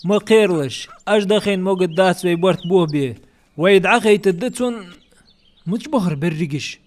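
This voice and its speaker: Man voicing a high-pitched cartoon male. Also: fairly quick, darkish tone, close to an everyday voice. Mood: talkative. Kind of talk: animated cartoon dubbing.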